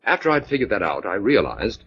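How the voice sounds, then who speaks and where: Low, husky, confident male detective. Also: sing-song, brisk, fairly bright tone, full character voice. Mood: assured, explaining. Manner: explanatory, assured. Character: confident male detective, self-assured and wry. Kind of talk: 1940s radio drama acting. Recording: dull old 1940s radio recording.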